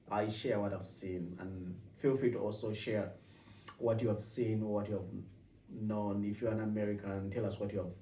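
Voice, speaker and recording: A man's voice: on the low side, dark tone, a little hiss or hum. A man from Uganda with a Ugandan accent, talking casually to his viewers and often restarting his sentences. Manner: rambling with frequent restarts. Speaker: vlogger host. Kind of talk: casual personal vlog. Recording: echoey room, mic at a distance.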